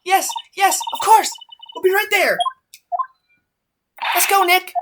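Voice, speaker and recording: A man voicing an excitable, girlish seventeen-year-old in a gushing, exclamatory manner for a game fandub. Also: very high voice, slow pace, very noisy, pitched above the performer's own voice. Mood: eager, insistent. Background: loud music.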